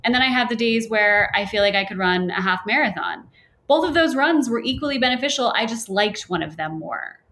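A young adult woman teaching meditation in a relaxed, chatty way with playful asides. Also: on the high side, brisk. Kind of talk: casual podcast conversation.

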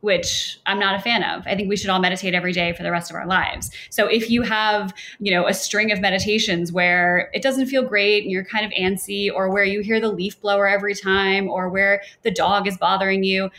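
A woman's voice: medium pitch, brisk, hardly any pauses. Young woman meditation teacher, laid back and chatty, jokes around a bit. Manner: relaxed, chatty, playful asides. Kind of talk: casual podcast conversation.

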